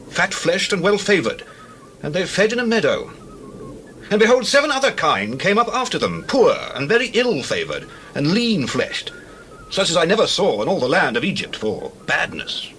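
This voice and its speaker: High-voiced, animated ancient Egyptian king. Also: slight background noise. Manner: formal, declamatory, archaic diction. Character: troubled, imperious ancient Egyptian king recounting his dream. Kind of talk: dramatized scripture acting.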